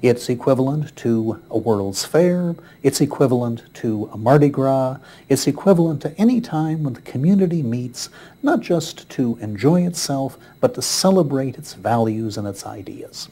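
A middle-aged man giving a reflective documentary interview in measured, rhetorical phrases. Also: medium pitch, animated, warm tone. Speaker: interviewed commentator. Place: indoor room with windows, filmed interview.

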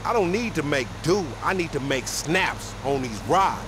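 An actor playing a loudmouthed, suspicious young street hustler in a video game, talking in teasing, profane slang. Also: male voice, on the high side, lively intonation, normal speed, close to an everyday voice. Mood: irritated banter. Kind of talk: video game dialogue, bantering. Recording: vehicle noise behind the voice.

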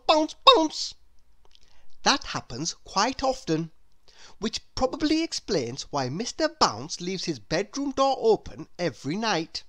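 A woman reading a children's story aloud in an expressive, deliberate way. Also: on the high side. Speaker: storybook reader. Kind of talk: scripted children's story narration.

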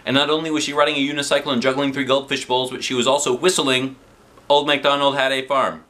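A man in his forties with an American accent, reading a children's book aloud in an expressive, playful way with casual asides. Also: mid-range voice, fast. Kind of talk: animated children's storybook narration with casual asides. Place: living room, seated on a sofa. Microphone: camera a short distance in front.

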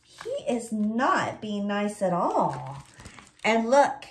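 A woman's voice: mid-range voice, very animated, very slow. A woman in her forties hosting a children's story time like a teacher, reading aloud, explaining simply and asking questions. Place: small indoor room with bookshelves.

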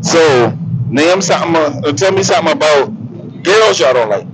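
A man with a Southern US accent runs a casual street interview, tossing out slangy questions in an easy, laid-back way. His voice sits a little high for a man. He talks into a handheld mic outdoors on a city street. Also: lively intonation, moderate pace, noisy background.

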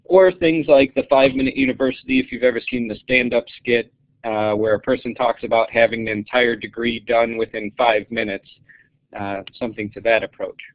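A male geology professor giving a webinar presentation in a measured, self-described monotone with frequent uhs. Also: medium pitch, no echo, dull audio. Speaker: geology professor, guest speaker. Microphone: web call.

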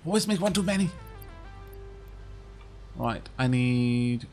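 A man's voice: mid-range voice, lively intonation, slow pace, a little mellow, faint music behind. A young man with a Welsh accent chatting casually while playing a game with a friend, rambling with lots of fillers. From Wales. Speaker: gaming streamer. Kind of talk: casual co-op gameplay chat.

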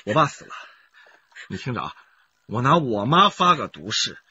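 Brazen gambler with sharp bright voice. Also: male voice, mid-range voice, big pitch swings, moderate pace, breathy edge, no room sound, highs cut off, heavily acted. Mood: desperate pleading. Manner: brazen, sneering, theatrical. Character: cunning reckless male gambler, cornered murder suspect. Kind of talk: Chinese radio crime drama acting.

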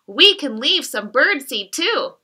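A woman reading the lines of a cheerful, caring little girl in a gentle, sing-song storybook way. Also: high voice, big pitch swings, slow pace, a little hiss or hum, full character voice.

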